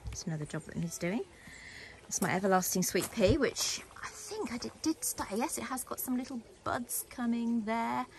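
Woman, English accent, slightly low voice, casual vlog tour commentary, chatty, handheld outdoor recording, light background noise. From England. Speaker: gardening vlogger. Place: outdoors walking around a garden. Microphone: handheld phone or camera behind the lens.

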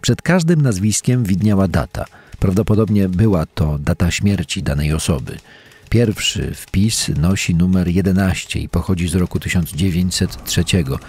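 A man playing a sober investigator, reporting evidence in a measured, formal, scripted true-crime reading with a husky voice. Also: low voice, darkish tone.

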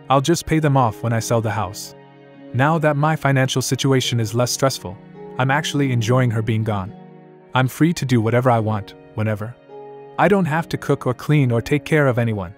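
A man in his forties reading a first-person account aloud in a calm, even way. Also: medium pitch, moderate pace. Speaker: narrator. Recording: soft music underneath.

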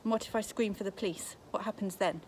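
A woman reading a story aloud in her garden, voicing a frightened but brave young girl who asks sharp, indignant questions. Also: medium pitch, fairly even intonation, fast, background noise, natural voice. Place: garden outdoors.

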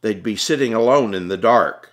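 A man's voice: on the low side, moderate pace. A man reading his own story aloud as scripted, dramatic audiobook narration.

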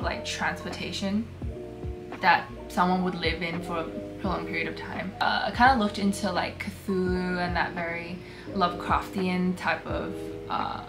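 Young woman from Hong Kong, relaxed candid vlogger, slightly low voice, music under the voice. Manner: chatty, many fillers, relaxed and candid. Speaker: concept artist. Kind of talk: casual talk over artwork. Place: small bedroom studio, indoors.

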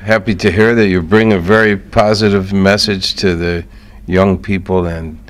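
A man's voice: low-pitched, fairly even intonation, moderate pace. A man with an American accent hosting a conversational radio interview in an easygoing, encouraging way. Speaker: radio show host.

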